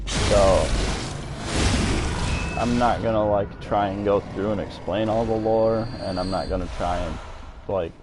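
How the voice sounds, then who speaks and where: A man giving casual live commentary as a game streamer, rambling and thinking aloud as he plays. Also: fairly low pitch. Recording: noisy home stream, steady loud background noise.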